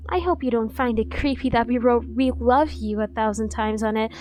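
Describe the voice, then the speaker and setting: A woman's voice: on the high side, moderate pace, darkish tone, faint music behind, lightly acted. A woman playing a dorky, obsessively devoted yandere bodyguard in an ASMR roleplay, cutesy, gushing and insistent. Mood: sheepish.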